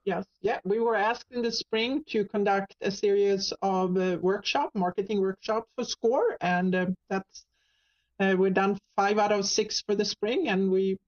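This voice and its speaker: A woman from Sweden with a Swedish accent, a guest telling her career story in a talk show interview, pausing often with ums and restarts. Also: medium pitch, steady tone, lo-fi sound. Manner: halting, many ums and restarts. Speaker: guest, marketing business owner.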